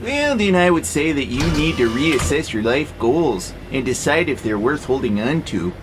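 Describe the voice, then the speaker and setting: A man's voice: a little high, sing-song, normal speed, background noise. A man acting in an audio drama as a wry pacifist warehouse robot, speaking in a dry, skeptical, laid-back way. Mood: reasoning, calm. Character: wry, easygoing pacifist male warehouse robot who loves nature.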